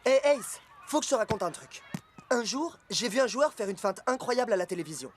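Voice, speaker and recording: A man playing a friendly, encouraging young football star in an animated cartoon, speaking casually. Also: high-pitched, a little fast, slight background noise. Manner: casual, slangy. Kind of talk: animated cartoon dub acting.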